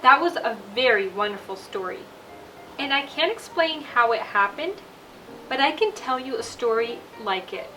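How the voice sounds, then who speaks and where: A woman reading a children's bedtime story aloud in a deliberate, expressive storybook delivery. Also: high-pitched, a little hiss or hum, rough recording. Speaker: storyteller and show host.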